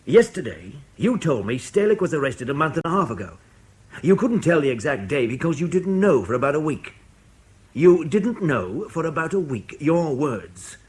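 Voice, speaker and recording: A man's voice: fairly high pitch, big pitch swings, moderate pace. A man playing a blunt, commanding interrogator who presses and accuses, in a British radio drama. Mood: accusing. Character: blunt, pressing male intelligence interrogator in charge.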